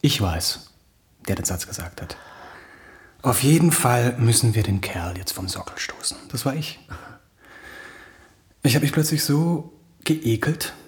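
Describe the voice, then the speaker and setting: A man's voice: mid-range voice, animated, measured pace, pauses often, lightly acted. An actor playing a touchy, self-doubting male playwright in a radio play, with a dry, earnest manner.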